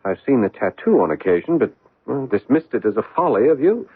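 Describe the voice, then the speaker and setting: An actor playing a polite gentleman's son in a radio drama, earnest, hesitant and anxious. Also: mid-range voice, big pitch swings, brisk, slightly rough, no echo. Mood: puzzled. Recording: muffled vintage radio broadcast, dry sound.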